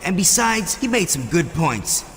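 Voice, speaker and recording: A man playing a serious wrestling authority in a video game, asking pointed, measured questions. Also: high-pitched, very animated, a bit bright, big-room sound, a little hiss or hum, heavily acted. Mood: stern, suspicious. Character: serious, probing male wrestling authority figure investigating. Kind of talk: video game cutscene acting.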